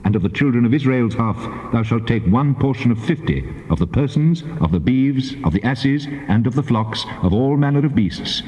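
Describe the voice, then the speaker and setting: An actor playing God as a solemn, commanding divine voice in dramatized scripture, speaking in measured phrases. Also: male voice, mid-range voice, animated, darkish tone, close to an everyday voice. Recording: muffled, long cavernous reverb.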